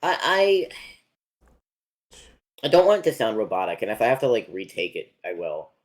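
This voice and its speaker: A man's voice: lowish voice, very animated, normal speed. Young male co-host, bouncy voice, laughs a lot, reads chat aloud. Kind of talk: casual chat.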